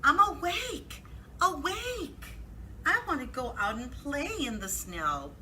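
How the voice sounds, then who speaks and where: A woman's voice: high-pitched, animated, slow, bright, forceful tone, slight background noise, voice pushed up, heavily acted. A woman voicing an eager young boy frog in a children's storybook, exclaiming in an insistent sing-song way.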